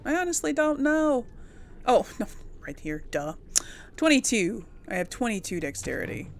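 A woman gives casual, chatty game commentary, musing aloud as she goes. Her voice is lively in pitch with a gravelly creak. A faint layer of noise sits behind her. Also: moderate pace. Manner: thinks aloud to herself. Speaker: gamer hosting a role-play playthrough.

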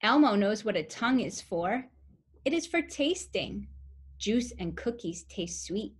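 A young woman reading a picture book aloud to toddlers as a preschool teacher, with simple, expressive phrasing and questions for the listeners. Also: mid-range voice, measured pace, husky. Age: young adult. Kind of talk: children's story read-aloud.

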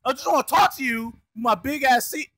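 A man's voice: very high voice, fairly quick, dry recording, clean studio sound, heavily acted. A man voicing a furious, foul-mouthed cat in a crude fan dub, swearing and complaining nonstop. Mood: menacing. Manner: swears constantly, shouts and complains.

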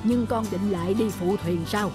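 A woman voicing a calm, wise middle-aged fisherman father in a cartoon folk tale, speaking patiently and instructively. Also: medium pitch, normal speed, over music, close to an everyday voice. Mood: fond, doubtful.

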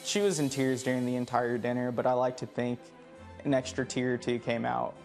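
A man's voice: mid-range voice, fairly even intonation, fairly quick, gravelly, darkish tone, faint music behind. A man in his twenties recalling personal memories in a recorded interview. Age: 20s.

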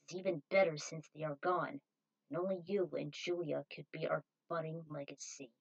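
A woman's voice: deep voice, steady tone, a little fast, gravelly, muffled recording, pitched below the performer's own voice. A woman reads as a fatherly ghost in a dramatic story. The mentor speaks solemnly, urging and encouraging. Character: fatherly spirit of a dead male mentor, urging.

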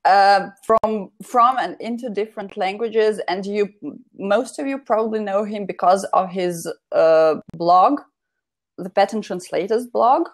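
A woman's voice: mid-range voice, measured pace. Young woman hosting a video-call show. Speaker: host. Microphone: video call with earphones.

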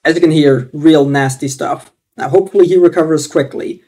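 Young man, upbeat mock DJ read on a headset, slightly high voice, faint music behind. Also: brisk, a little mellow. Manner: upbeat announcer delivery, playful. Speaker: streamer. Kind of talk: mock radio DJ announcing. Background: faint game music.

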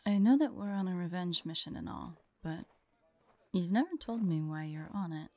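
A woman playing a forlorn, vulnerable young huntress in an intimate audio roleplay, speaking softly and haltingly, often drifting into whispers. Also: on the low side, no echo, natural voice. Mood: gentle, earnest. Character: forlorn young woman hunter, tearful, vulnerable, guarded, affectionate.

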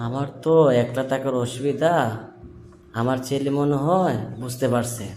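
A man's voice: mid-range voice, moderate pace, a little mellow, a little hiss or hum, lightly acted. Man voicing a self-important middle-aged father, echoey. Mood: musing. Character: vain, scheming middle-aged father wanting a young bride. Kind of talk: comic cartoon voice acting. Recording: roomy, echoing sound.

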